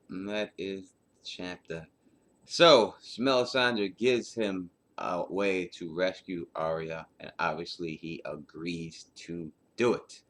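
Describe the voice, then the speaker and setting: A man's voice: deep voice, fairly bright tone. A man giving informal, unscripted commentary as a host, in a rambling, colloquial way that circles back over points. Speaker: host of book chapter breakdown.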